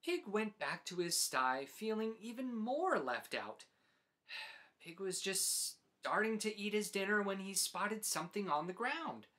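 A man in his forties narrating a children's storybook as host, with deliberate, dramatic phrasing. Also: sits high, animated, pushed and bright. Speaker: host reading picture books aloud.

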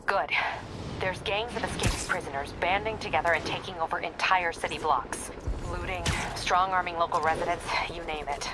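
Actress as a low-voiced, no-nonsense police captain. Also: female voice, moderate pace, very noisy, lightly acted. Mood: businesslike. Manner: terse, dry, businesslike. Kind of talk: video game voice acting. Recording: loud music over the voice. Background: loud game music.

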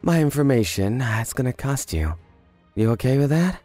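An actor plays a shrewd male crime informant from a manga cartoon. He is cool and businesslike, laying things out in measured phrases over music. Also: mid-range voice, animated, mellow, lightly acted. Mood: cool, sly. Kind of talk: animated manga dub dialogue.

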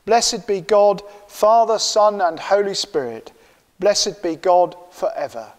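Older guy leading church prayers, slow, a bit high, echo of a big church. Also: animated. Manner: measured, solemn recitation. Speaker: minister leading the service. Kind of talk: liturgical prayers and confession. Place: church sanctuary, indoors. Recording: long church reverb.

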